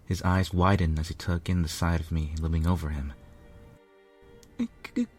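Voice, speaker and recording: A man narrates a piece of fiction from a script. His voice is low, and faint music plays underneath as he reads. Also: level tone, fairly quick, a little mellow. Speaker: fan fiction reader, narrator.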